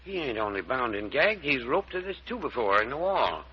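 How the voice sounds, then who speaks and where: A man playing a blustery, kindly country doctor with a husky voice and rustic, exclaiming delivery in an old-time radio serial. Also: mid-range voice, big pitch swings, normal speed. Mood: grave, concerned. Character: folksy, blustery male country doctor, gruff but kindly. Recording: dull lo-fi old radio recording.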